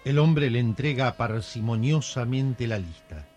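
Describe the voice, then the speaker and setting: A man narrating a radio drama in a measured, knowing, confiding way. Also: mid-range voice, lively intonation, a little mellow, close to an everyday voice.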